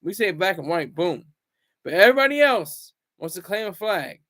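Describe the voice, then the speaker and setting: A man hosting a casual solo commentary vlog, rambling and restarting his words, swinging between loud bursts and low asides. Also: big pitch swings, normal speed. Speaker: commentary channel host.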